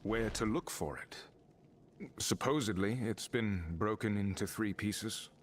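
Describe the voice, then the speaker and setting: A man's voice: on the low side, measured pace, a little hiss or hum, lightly acted. A man voicing a composed, determined adventurer in a video game, terse and serious. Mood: polite, serious.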